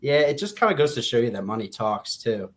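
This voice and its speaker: A man co-hosting a podcast, chatting casually about a book in an informal, halting way, often rephrasing his points. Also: mid-range voice, big pitch swings. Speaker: podcast co-host discussing a book.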